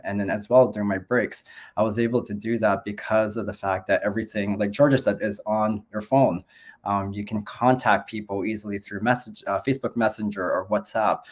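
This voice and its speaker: A man in his forties from the Philippines, a nurse and business owner, talking in a friendly, conversational way on a group video call, with many ums. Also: fairly low pitch.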